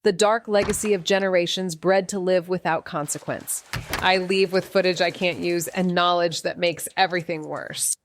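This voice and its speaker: Woman acting guilty hard-boiled lady detective narrating a noir podcast, wry, lowish voice. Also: close to an everyday voice. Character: guilt-ridden, dogged female noir investigator, foul-mouthed and wry. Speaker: narrating lead character. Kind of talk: first-person noir audio drama narration.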